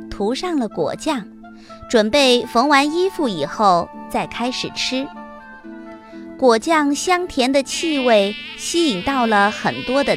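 A woman narrating a scripted fairy tale for children as the host, with expressive, deliberate storytelling. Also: fairly high pitch.

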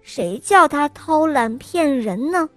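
A young woman hosting a children's bedtime story show, narrating in a sweet, sing-song, gently coaxing manner. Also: high-pitched, expressive, slow pace, no room sound, faint music behind.

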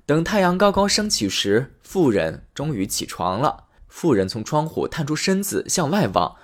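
A man narrating a fairy tale for children in a friendly, lively storytelling manner. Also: a little high, normal speed.

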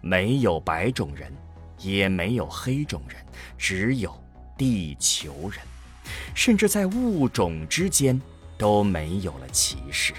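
A man's voice: deep voice, big pitch swings, slow pace, faint music behind. A man narrating a scripted science fiction audio drama in a dramatic storytelling style.

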